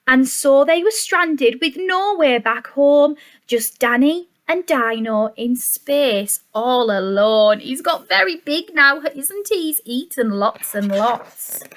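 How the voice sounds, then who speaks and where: A woman reading a picture book to young children in an expressive, sing-song way, adding chatty asides and questions for the listeners. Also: sits high, takes it slowly.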